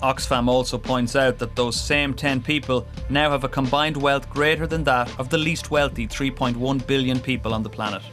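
A man's voice: medium pitch, steady tone, normal speed, hardly any pauses, a bit bright. A man delivering scripted narration for a business news report. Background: background music.

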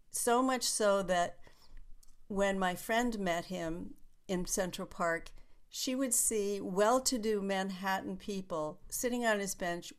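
Woman telling stories in chat. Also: fairly low pitch. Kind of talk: casual conversation.

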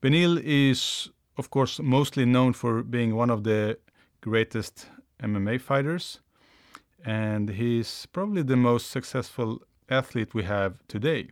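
This is a man with a Swedish accent who hosts a podcast and speaks English as a second language. His pitch sits in the middle of the male range. He talks in a friendly, conversational way, pausing often on fillers. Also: dry recording, studio quality. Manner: friendly, hesitant with frequent fillers. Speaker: podcast host.

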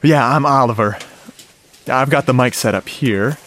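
A man's voice: mid-range voice, normal speed, a bit bright, a little hiss or hum, natural voice. A man acting in a radio drama as an enthusiastic sound-effects guy, explaining things conversationally with questions to the listener. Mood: casual, eager.

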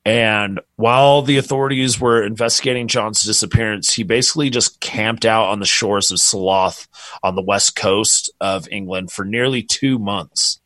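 A man's voice: on the low side, steady tone, moderate pace, fairly bright tone, no echo. A man casually telling a true crime story on a podcast, rambling and swearing freely.

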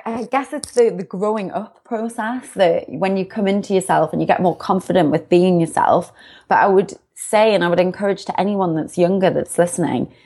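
A woman's voice: fairly low pitch, mellow. A young woman from the UK, a success coach, talking as an interview guest in an upbeat, reflective way. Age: young adult. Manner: bubbly, upbeat, self-reflective. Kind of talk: casual interview chat.

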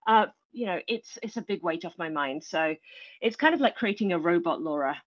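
A woman's voice: on the low side, lively intonation, a little fast, muffled recording. A woman from England with an English accent, a tech entrepreneur answering interview questions in an upbeat, earnest way. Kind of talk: casual interview talk.

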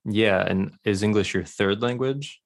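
American guy interviewer, pretty deep voice, chatty casual questions that ramble a bit. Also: unhurried. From United States.

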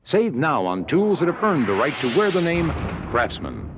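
A man's voice: fairly high pitch, sing-song, normal speed, over loud music. A man reading a scripted retail commercial as a radio announcer. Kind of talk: scripted retail advertisement read.

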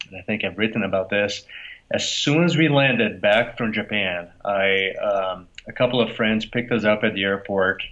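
Brazilian guy chatting about his business, easygoing, kinda bright tense voice, muffled lo-fi audio. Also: on the low side. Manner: easygoing, laughs often, many fillers. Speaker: company founder, guest. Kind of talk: conversational interview answers. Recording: dull lo-fi audio.

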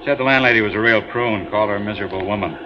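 A talkative ship captain, voiced by a man, rambles on, hesitant and friendly, in a 1950s radio drama. He talks quickly at a middle pitch. The broadcast sounds muffled and carries a loud hiss. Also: lightly acted.

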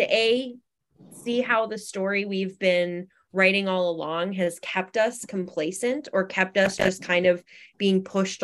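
A woman's voice: on the high side, a bit bright. A woman speaking as an interview guest, reflective and conversational, thinking aloud about life and often voicing imagined examples. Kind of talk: conversational self-help discussion.

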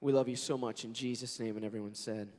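Hoarse pastor preaching in a reverberant hall. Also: male voice, mid-range voice, steady tone, fast, a little mellow. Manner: earnest, conversational preaching with storytelling. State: hoarse, scratchy voice. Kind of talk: sermon with prayer and scripture reading. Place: church sanctuary. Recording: long reverb of a large church hall.

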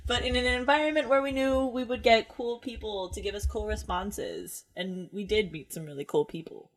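A woman's voice: a little high, normal speed, poor audio. A woman co-hosting a podcast, talking in an enthusiastic, bubbly way. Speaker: podcast co-host. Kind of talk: casual podcast chat.

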